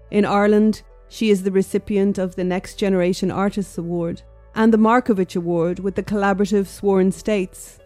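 A woman narrating a documentary in a calm, scripted delivery. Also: mid-range voice, dry recording.

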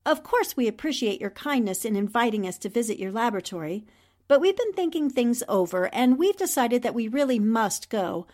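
Lively, firm heroine newspaperwoman. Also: a little high, fairly quick. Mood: wary politeness. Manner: polite, firm, articulate. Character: poised, intelligent, firm female newspaper columnist heroine. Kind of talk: 1940s radio drama acting.

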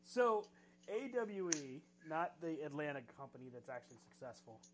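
A man with a slightly high voice who co-hosts a casual chat. He is excitable, laughs often and swears casually. A faint hum runs under the recording. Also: normal speed. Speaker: podcast co-host. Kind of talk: casual chat about wrestling.

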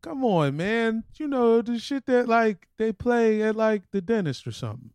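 A man's voice: sits high, expressive, normal speed, darkish tone, clean tone, no echo, clean studio sound. A man co-hosting a podcast with casual, irreverent comic banter full of slang and swearing. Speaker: podcast co-host.

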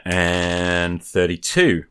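Low-voiced man in casual game chat. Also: little pitch movement, drawn-out words, fairly bright tone, clear, resonant tone, no echo, studio quality. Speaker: podcast host playing an improvised tabletop game.

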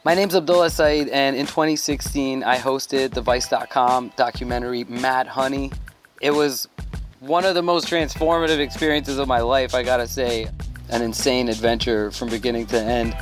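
A man from Thailand telling a travel story in a loose, animated way as a podcast guest. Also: medium pitch, normal speed, faint music behind. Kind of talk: casual storytelling.

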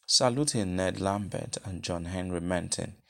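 Man, Nigerian accent, low creaky voice, expressive literary narration, measured and deliberate. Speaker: guest reader, novelist.

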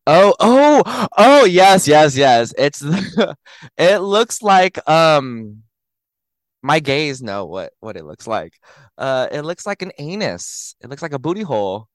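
A man in his late twenties hosting a podcast in casual chat, animated and giggly, his voice slightly raspy today. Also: fairly high pitch, slow pace, no echo. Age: 20s. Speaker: podcast host.

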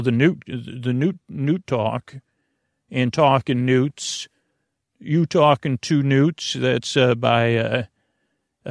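A man hosting a sleep podcast in a meandering, halting monologue, often losing his thread. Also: medium pitch, gravelly, no echo, clean studio sound. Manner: meandering, halting, loses his train of thought. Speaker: podcast host.

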